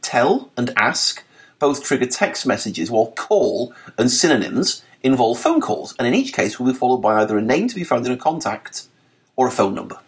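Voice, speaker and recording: A man narrating a written article for a podcast, reading with a lively, articulate delivery and dry wit. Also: mid-range voice, moderate pace.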